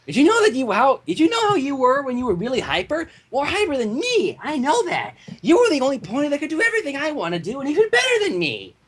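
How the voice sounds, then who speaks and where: A woman's voice: high voice, huge pitch swings, fast, hardly any pauses, voice pushed up. A woman voicing a hyperactive, cheerful young female cartoon pony with a bubbly, silly manner. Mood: playful, eager.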